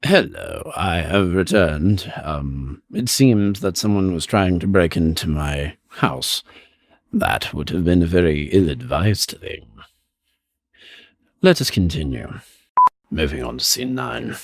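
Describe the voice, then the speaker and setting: A man who voice acts, talking between takes in a formal, measured, deadpan way. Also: sits low, vocal fry, no room sound, studio quality. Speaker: amateur voice actor. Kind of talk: dry monologue between takes.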